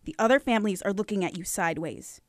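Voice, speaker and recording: An urgent woman of a crime family in a noir radio play, acted by a woman. Her mid-pitched voice sounds close and dry. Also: lively intonation, quick pace, a little mellow, no room sound, close to an everyday voice. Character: urgent female mobster, the boss's younger sister. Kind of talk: noir radio drama acting.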